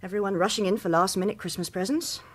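An actress playing a teasing woman, a hitman's hospitable friend, with a husky voice in a radio drama. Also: medium pitch, steady tone, fast, noisy background, close to an everyday voice. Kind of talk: vintage radio drama acting.

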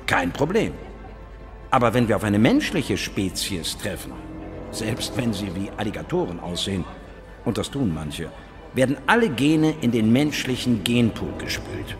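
Lead scientist, dry and confident, animated melody, music behind, science fiction audio drama. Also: male voice, lowish voice, normal speed. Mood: wry, emphatic. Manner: explanatory, dry, wry. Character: confident, worldly male biologist and space investigator. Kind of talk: radio drama dialogue and first-person narration.

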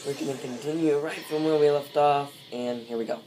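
A man with a congested, coughing voice hosting a casual let's play, speaking in a slow, apologetic way from a dim room at home. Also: on the high side, fairly even intonation, warm tone, faint music behind, rough recording. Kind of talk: casual gameplay commentary.

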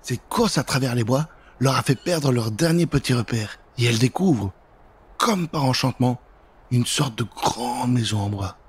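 Man in his thirties, children's storyteller, very lively intonation, husky, dramatic, close microphone. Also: medium pitch, moderate pace. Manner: expressive, dramatic. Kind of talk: theatrical scripted storytelling for children. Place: indoor recording setup. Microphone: close microphone with pop filter.

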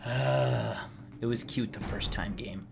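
A man with a medium-pitched, slightly hoarse voice talks through a video game. His commentary is casual and rambling, with a wry, exasperated edge. Game music plays clearly behind him. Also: slow pace. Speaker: let's play host.

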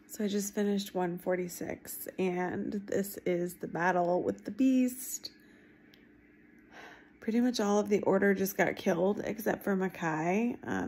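A woman in her thirties chatting casually and emphatically to her phone at home, reacting in an animated, informal way. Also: medium pitch, vocal fry, slightly rough. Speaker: book vlogger giving reading reactions. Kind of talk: casual reaction chat to camera. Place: small room at home, indoors. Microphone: phone at arm's length.

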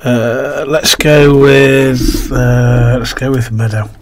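A man with a croaky, slightly coughing throat, casually commentating a game, reading on-screen text aloud and muttering to himself as he plays. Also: medium pitch, little pitch movement, takes it slowly, noisy background. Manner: mumbles to himself while playing, reads game text aloud. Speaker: gaming video host. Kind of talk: casual let's-play commentary.

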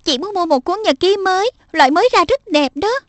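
Elder-sister schoolgirl, voiced by a woman. High, dry-recorded, assertive and persuasive, for a children's cartoon dub. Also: lively intonation, a little fast, no room sound. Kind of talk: Vietnamese-dubbed children's cartoon.

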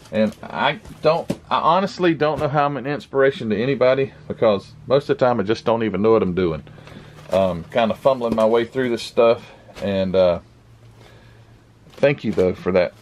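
A man hosting his model car channel, chatting casually while unboxing a parcel, his voice croaky from the morning, with lots of restarts. He talks indoors into a camera set a little way back from him. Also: lowish voice, a little hiss or hum. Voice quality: rambling, restarts often. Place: indoor room with camera on a table. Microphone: camera mic set back from him.

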